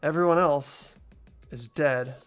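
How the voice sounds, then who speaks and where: A person reading visual novel narration and dialogue expressively, voicing an earnest, anxious high school student. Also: slow, smooth, soft background music. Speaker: player reading a video game's lead role aloud.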